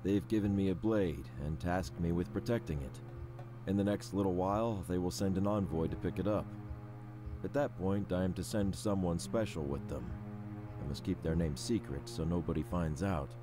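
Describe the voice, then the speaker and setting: An actor playing an earnest, dutiful man reading his written journal in a video game, measured and solemn. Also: sits low, music in the background, natural voice. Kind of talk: scripted video game narration.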